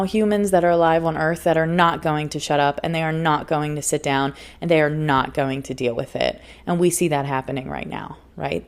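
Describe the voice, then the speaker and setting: A woman hosting a solo spiritual self-help podcast, speaking earnestly and emphatically, often laughing and repeating phrases for emphasis. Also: fairly low pitch. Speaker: podcast host and life coach. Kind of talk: solo motivational podcast talk.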